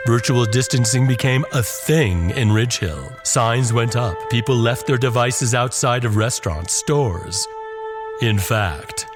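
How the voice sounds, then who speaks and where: A man narrating a scripted horror story as a podcast host, with deliberate, dramatic and ominous emphasis. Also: lowish voice. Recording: music under the voice. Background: music.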